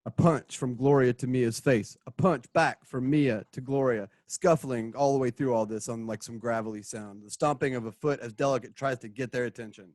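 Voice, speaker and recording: A woman's voice: very deep voice, moderate pace, few pauses, a little mellow, no room sound. A woman narrating the stage directions of an audio drama, reading scripted action and sound cues.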